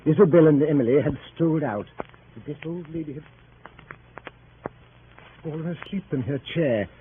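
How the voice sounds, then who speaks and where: An actor as a gentleman narrator, telling a story in formal 1930s radio-drama style. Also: fairly high pitch, normal speed, a little hiss or hum, lightly acted. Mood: measured. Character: gentleman narrator recounting country events. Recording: tinny 1930s radio recording.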